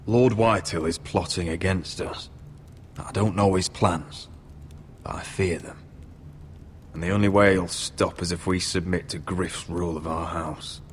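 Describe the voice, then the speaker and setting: Deep-voiced solemn young lord. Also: male voice, fairly even intonation, a little slow. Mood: grave, worried. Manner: solemn, formal, resigned. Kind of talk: dramatic video game acting. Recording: clear background noise and ambience.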